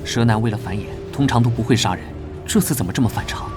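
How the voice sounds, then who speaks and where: A man playing a young knight, proud and guarded, serious and reserved. His middle-pitched voice rings in a long reverb, with clear music behind it. It is animated drama delivery, often turned inward like private thought. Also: fast, close to an everyday voice. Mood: serious, earnest. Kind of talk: animated drama dubbing with inner monologue.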